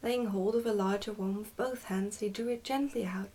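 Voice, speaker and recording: A woman reads a storybook in a hushed ASMR manner, slipping at times toward a whisper. Her delivery is careful and deliberate, and she sounds a little way back from the microphone. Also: medium pitch, steady tone, far from the mic. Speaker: ASMR host reading a book aloud. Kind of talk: ASMR storybook reading, soft and partly whispered.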